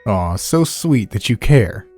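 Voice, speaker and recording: A man playing a cocky, loudmouthed veteran newspaper chief in an audio drama, brash, sardonic and dismissive. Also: lowish voice, sing-song, slow pace, breathy edge, exaggerated voice. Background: music bed.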